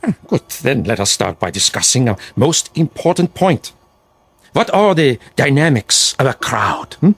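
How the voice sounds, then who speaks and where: An actor playing a sinister, commanding dead dictator in a radio drama, with a husky, insinuating, formal delivery. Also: male voice, mid-range voice, very animated, a little slow, full character voice. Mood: instructive, impassioned. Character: sinister dead dictator, coaxing a follower in demagoguery. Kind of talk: vintage-style radio drama acting.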